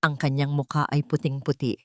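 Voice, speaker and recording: A woman's voice: low voice, little pitch movement, a little fast, no room sound, clean studio sound. A woman reading a scripted, dramatic story as narrator.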